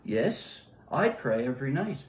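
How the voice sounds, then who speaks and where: A man's voice: mid-range voice, very animated, measured pace. A man reading a story as an earnest, indignant man who pleads and argues. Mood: pleading. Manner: earnest, argumentative. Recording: roomy echo.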